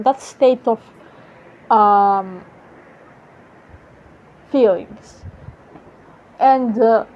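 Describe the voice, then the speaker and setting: Slow, halting woman with a Serbian accent. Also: fairly high pitch. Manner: halting, self-correcting, swears casually. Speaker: vlogger. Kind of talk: rambling philosophical musing. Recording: muffled lo-fi audio.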